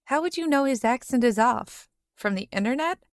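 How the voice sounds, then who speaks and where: A male narrator voicing an earnest young woman in a soft, breathy falsetto for an audiobook. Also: animated, normal speed, no echo, studio quality. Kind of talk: audiobook character voice.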